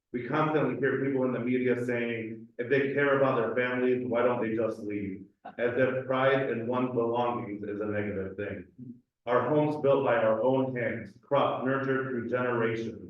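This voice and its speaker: Earnest man in an echoey hall. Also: medium pitch. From Cleveland, USA. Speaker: community speaker. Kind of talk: reading a personal essay aloud. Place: community event hall. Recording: echoey hall, distant and dull mic.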